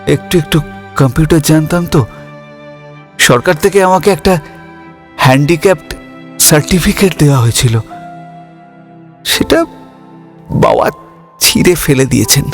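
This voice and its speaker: A slow, wistful young man, emotive. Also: a little high. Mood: reflective, hopeful. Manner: halting, stammering, emotive delivery. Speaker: narrator and lead character. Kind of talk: dramatic Bengali audio story narration. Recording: music under the voice.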